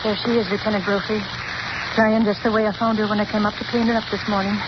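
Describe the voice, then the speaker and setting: A woman's voice: mid-range voice, flat delivery, fast talker, natural voice. A woman playing a jittery rooming-house cleaner. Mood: flustered. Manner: nervous, jittery. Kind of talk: 1930s radio drama acting. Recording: dull old radio broadcast with hiss.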